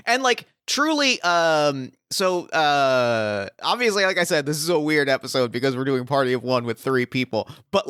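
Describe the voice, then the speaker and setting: A man hosting a casual podcast chat, talking in an excitable, gushing, rambling way. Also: medium pitch, clear, resonant tone, no room sound, studio quality. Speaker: podcast host.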